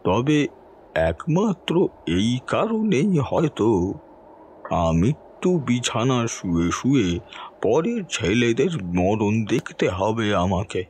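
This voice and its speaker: A man's voice: medium pitch, big pitch swings, a little mellow, slight background noise. A man playing a helpless, bedridden dying father, delivering a sorrowful, dramatic confession in an audio horror story. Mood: sorrowful, haunted. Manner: slow, anguished, dramatic pleading.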